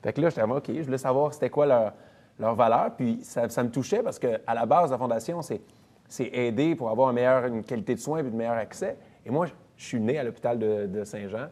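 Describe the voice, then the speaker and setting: A man in his thirties with a Quebec French accent, a comedian and actor chatting as an interview guest, relaxed and self-deprecating as he tells stories. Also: medium pitch, fairly quick. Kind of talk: casual interview chat.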